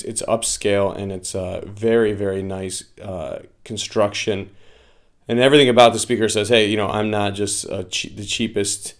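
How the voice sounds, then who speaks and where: A man giving a casual product review in a halting way, often pausing mid-phrase. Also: fairly low pitch. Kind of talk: semi-scripted casual review.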